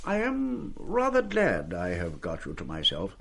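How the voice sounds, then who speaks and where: Suave, lilting headmaster. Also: male voice, low-pitched, huge pitch swings, a little slow, vocal fry, a bit bright, full character voice. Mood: delicate, smooth. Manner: urbane, wordy, patronising. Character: suave, condescending male public school headmaster, falsely genial. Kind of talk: radio play acting.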